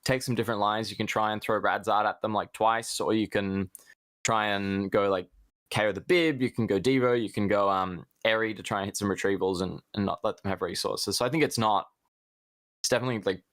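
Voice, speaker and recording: Laid-back young man with a lowish voice. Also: fairly even intonation, fairly quick, a bit bright, dry recording. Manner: laid-back, many fillers. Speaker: guest player. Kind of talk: casual chat on a video call.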